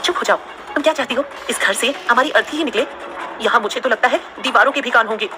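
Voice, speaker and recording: A woman doing a high stammering young woman. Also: fast, background noise, close to an everyday voice. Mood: hushed scolding. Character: young woman Tanu, nervous and cheeky.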